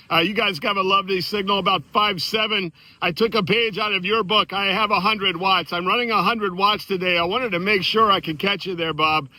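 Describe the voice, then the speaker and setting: An American man chatting casually and excitedly as an amateur radio operator, friendly and effusive. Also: high-pitched, dry recording, poor audio. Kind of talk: casual enthusiastic chat and radio exchanges.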